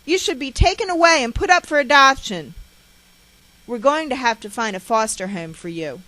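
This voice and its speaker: A woman storyteller voicing an enraged, abusive mother, harsh and shouting, who scolds and threatens. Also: high-pitched, lively intonation, normal speed, dry recording, voice pushed up, rough recording. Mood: furious scolding.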